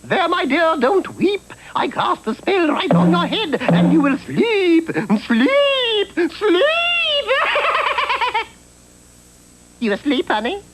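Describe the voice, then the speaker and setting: High, dotty old cartoon witch. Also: huge pitch swings, slow pace, full character voice, low-quality recording. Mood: incanting, coaxing. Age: older. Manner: folksy, scatterbrained, calls people honey. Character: dotty, cackling little old witch, folksy and forgetful. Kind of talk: comic cartoon voice acting. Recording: old TV cartoon soundtrack with music.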